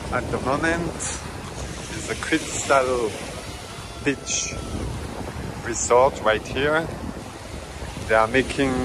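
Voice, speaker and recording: A man strolls along a beach with his phone and chats about what he sees, his phrasing loose and slightly non-native, dotted with fillers. His voice sits in a middle pitch. The surf and wind wash clearly behind him. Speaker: travel vlogger. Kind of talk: casual walking-tour commentary. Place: outdoors on a tropical beach. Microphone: handheld phone camera. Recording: outdoor phone audio with surf noise. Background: waves and wind.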